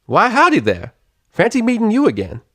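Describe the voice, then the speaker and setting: Kindly farm mare, acted by a man, Southern US drawl, raised pitch, folksy and fussing; storybook fan fiction, very dry sound. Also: huge pitch swings, moderate pace, no echo, exaggerated voice. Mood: surprised, friendly. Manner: folksy, warm-hearted, fussing over others. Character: friendly, motherly country farm mare, folksy and helpful. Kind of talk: character voice in a fan fiction reading.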